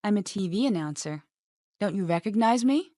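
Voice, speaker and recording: A voice actress plays a matter-of-fact TV announcer chatting off the job. Her pitch is middling and the sound is very dry. It is scripted lesson dialogue. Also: lively intonation, moderate pace, mellow, dry recording, lightly acted. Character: matter-of-fact female TV announcer in everyday chat. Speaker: voice actor in English lesson dialogues.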